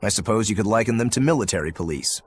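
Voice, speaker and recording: A man's voice: lowish voice, animated, fast talker, slight background noise, natural voice. An actor playing a laid-back, worldly male demon in a game, speaking with dry wit. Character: easygoing adult male demon, wry and worldly.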